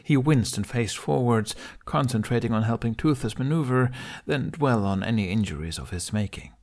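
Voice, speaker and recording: A man's voice: medium pitch, lively intonation, normal speed, a little mellow. A man narrating a fantasy adventure audiobook in a dramatic, scripted storytelling style.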